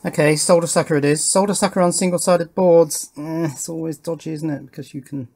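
A man's voice: on the high side, steady tone, brisk, gravelly, a little mellow, slight background noise. A man hosting an electronics channel, giving a casual hands-on demonstration and thinking aloud as he works. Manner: thinking aloud while working, with tag questions. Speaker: host of an electronics build channel. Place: indoors at a wooden workbench.

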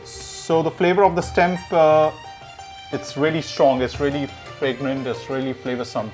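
A man's voice: fairly high pitch, flat delivery, normal speed, a little mellow, faint music behind. A man in his thirties, a chef, giving a cooking demonstration in an indoor kitchen.

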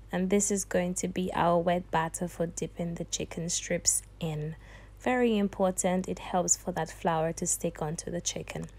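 A woman's voice: mid-range voice, creaky, a little mellow. A young woman hosting a cooking tutorial, talking the viewer through each step in a chatty, sassy way and calling them darling and honey. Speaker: cooking channel host.

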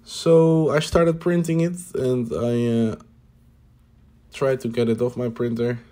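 Mellow-voiced man narrating his hobby build. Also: medium pitch, steady tone, slow pace. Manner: hesitant, many "uh" fillers, plain upbeat remarks. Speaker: hobby builder showing his home cockpit project. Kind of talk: casual voice-over narration.

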